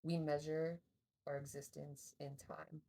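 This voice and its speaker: A woman co-hosting a casual podcast chat, sharing thoughtful opinions in a relaxed, hedging way. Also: deep voice, a little slow, vocal fry. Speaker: podcast co-host.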